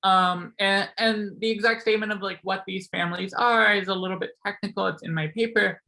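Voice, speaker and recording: Young male seminar speaker, voice a bit sore, bright tone, loose chatty delivery with many fillers. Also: sits high, steady tone, brisk, gravelly, poor audio. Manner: casual, many ums and likes, self-correcting. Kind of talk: academic math talk.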